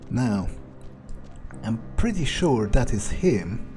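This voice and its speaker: A man's voice: medium pitch, big pitch swings, takes it slowly, a little mellow, faint music behind. A man playing a cold, thrill-seeking vampire vigilante, musing aloud in a sardonic way as he narrates a game. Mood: casual relish. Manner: musing, hesitant, sardonic, swearing. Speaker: gamer role-playing a character in a playthrough.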